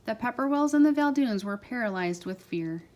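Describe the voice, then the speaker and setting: Higher-voiced woman reading a children's storybook. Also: animated, normal speed, darkish tone. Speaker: parent reading aloud with a child.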